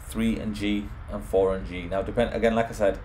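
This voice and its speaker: A man's voice: on the low side, fairly even intonation, a little fast, a little mellow, slight background noise. A man presenting an informal home automation tutorial, explaining things step by step. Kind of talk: informal software screen walkthrough.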